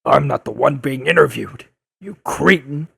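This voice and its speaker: A man playing a bitter, blustering old newspaperman turned blogger in an audio drama, with a gravelly, raspy voice and an accusatory, declaiming manner. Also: mid-range voice, animated, normal speed, fairly bright tone, full character voice. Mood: defensive, angry. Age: older. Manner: accusatory, indignant, theatrical declaiming.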